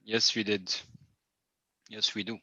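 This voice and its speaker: A man from Lebanon co-hosting an online event, giving quick, practical directions and switching among English, Arabic and Italian. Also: fairly low pitch, little pitch movement, bright, forceful tone, breathy edge, no room sound. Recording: dry, dull lo-fi sound.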